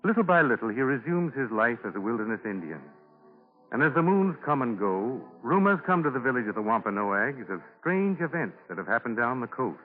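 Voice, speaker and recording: A man narrating a 1940s radio drama in a solemn storytelling style with deliberate phrasing. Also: mid-range voice, big pitch swings. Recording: tinny 1940 radio recording with music.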